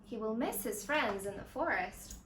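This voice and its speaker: A woman's voice: fairly high pitch, expressive, normal speed, fairly bright tone, a little hiss or hum. A woman voicing an eager, imaginative young boy from a picture book, earnestly and confidently explaining plans. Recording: roomy echo of a home interior.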